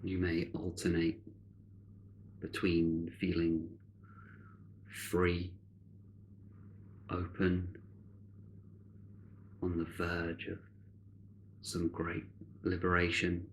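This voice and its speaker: A man guiding a meditation in a measured, solemn, soothing manner, with long deliberate pauses between short phrases. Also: sits low, completely flat delivery, slight background noise, poor audio. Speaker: meditation guide. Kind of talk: guided meditation, spiritual narration.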